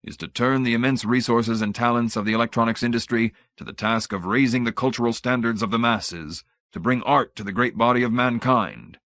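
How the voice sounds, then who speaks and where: A man's voice: lowish voice, animated, normal speed, fairly bright tone, dry recording, clean studio sound. A man voicing a fussy, pompous old research director in an audiobook, idealistic and grand in manner but timid. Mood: grandly intoning.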